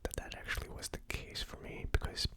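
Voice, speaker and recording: A host giving a whispered ASMR tasting commentary in a breathy, unvoiced whisper, musing aloud in a slow, tentative way. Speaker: whiskey tasting video host.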